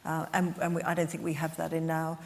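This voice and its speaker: A woman chairing a conference panel, answering briefly and briskly calling on audience members. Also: sits low, monotone, vocal fry. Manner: brisk, directs questions to the audience. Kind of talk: moderating a panel. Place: lecture hall. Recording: long hall reverb.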